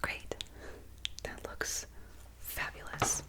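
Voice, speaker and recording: Slow, whispering ASMR woman. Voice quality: breathy whisper, very soft. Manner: gentle, soothing, unhurried reassurance. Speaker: ASMR creator playing a barber. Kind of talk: soft-spoken ASMR personal-attention roleplay.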